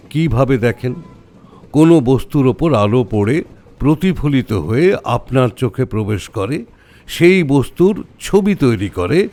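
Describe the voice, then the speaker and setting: Assured older tantra scholar in audio drama, measured n didactic, very lively pitch swings. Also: male voice, vocal fry, heavily acted. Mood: musing, instructive. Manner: measured, didactic, uses rhetorical questions. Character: calm, learned older male tantra scholar, assured and explanatory. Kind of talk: Bengali audio horror story acting.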